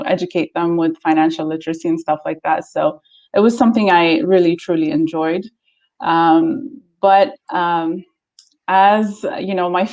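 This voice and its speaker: A woman's voice: on the low side, darkish tone. A woman in her thirties from Ethiopia, telling her personal story casually as an interview guest, chatty with light laughter in her talk.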